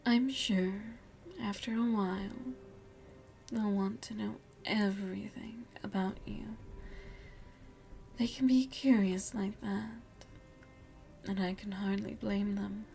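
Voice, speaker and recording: Woman acting a slow, breathy, seductive vampire lady. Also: medium pitch, steady tone, warm tone, soft background music. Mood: gracious, intimate. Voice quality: breathy, often dropping into a whisper. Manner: formal, teasing, velvety politeness with veiled menace. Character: seductive, aristocratic female vampire lady hosting a guest. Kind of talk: ASMR roleplay, intimate and slow.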